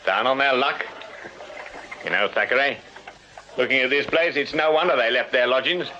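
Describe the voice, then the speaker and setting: A sardonic Victorian sergeant, played by a man, curt and commanding in a mid-pitched voice. The radio drama delivery is wry, and the sound is dull with clear noise. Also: moderate pace, close to an everyday voice. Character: dry, sardonic, unyielding Victorian police sergeant. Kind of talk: period radio drama acting.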